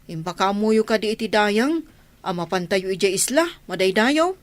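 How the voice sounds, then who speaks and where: A woman's voice: mid-range voice, expressive, normal speed, dry recording, close to an everyday voice. A woman acting a deferential servant to a sultan in an Ilocano radio drama.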